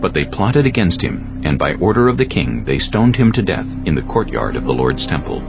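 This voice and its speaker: A man with a gravelly voice giving measured, solemn narration for a dramatized audio Bible. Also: low voice, big pitch swings. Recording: dull audio with soft music behind. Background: soft background music.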